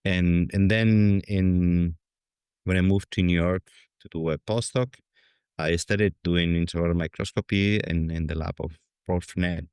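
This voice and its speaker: Deep-voiced Spanish-accented man, hesitant. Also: fairly even intonation, unhurried, darkish tone, clean tone, no echo, studio quality. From Spain. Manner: hesitant, many fillers and restarts. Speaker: guest scientist. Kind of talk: conversational interview.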